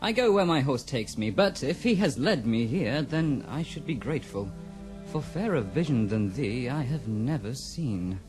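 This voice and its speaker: An actor playing a brooding, grief-stricken young rider in a fantasy audio drama, with intense, old-fashioned phrasing. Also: male voice, medium pitch, lively intonation, moderate pace, over music, close to an everyday voice, poor audio. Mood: wistful, courtly. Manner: formal archaic phrasing, intense.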